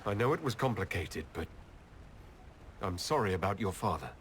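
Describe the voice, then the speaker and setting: A man's voice: low-pitched, sing-song, moderate pace, fairly bright tone, slight background noise. A man playing an earnest, composed elf leader in a video game, direct and probing. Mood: hurt, concerned. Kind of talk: video game cutscene acting.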